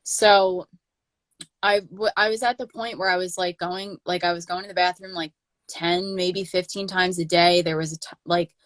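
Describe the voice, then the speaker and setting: A woman's voice: fairly low pitch, steady tone, moderate pace, a bit bright, no echo. A woman in her late twenties hosting a casual livestream chat, talking loosely with many fillers and restarts. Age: 20s. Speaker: channel host.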